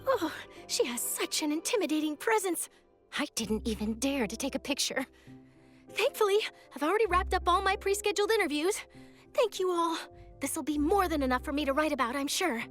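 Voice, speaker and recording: A woman's voice: high-pitched, expressive, fairly quick, fairly bright tone, soft background music. An actress voicing an eager, easily flustered young female journalist in a video game, chatty and nervous. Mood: relieved, chatty. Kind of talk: animated video game voice acting.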